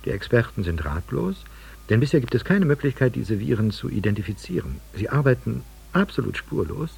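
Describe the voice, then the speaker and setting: A man reading a formal radio script in a measured, articulate manner. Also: mid-range voice, very animated, vocal fry, a little mellow, breathy edge. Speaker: radio speaker.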